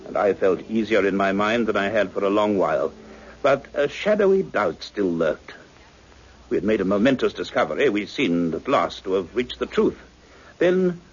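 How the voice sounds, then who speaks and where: A man acting a thoughtful, learned scientist in an old radio science fiction drama, speaking in a grave, measured, scholarly way. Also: on the low side, vocal fry. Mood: uneasy, reflective. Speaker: lead actor and first-person narrator. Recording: muffled, dull old radio recording.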